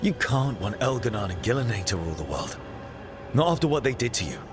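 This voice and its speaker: A man's voice: medium pitch, very animated, fairly quick, over music, lightly acted. An actor playing a determined male adventurer in a fantasy video game, speaking earnestly and plainly. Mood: earnest, urgent. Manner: earnest, plain-spoken persuasion. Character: earnest, determined heroic male adventurer pleading his case. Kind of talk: dramatic video game dialogue.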